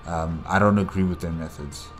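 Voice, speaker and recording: Guy doing a casual reaction, really low voice, pauses to find his words, music behind. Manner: halting, pausing to search for words. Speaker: reaction video host. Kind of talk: casual solo commentary.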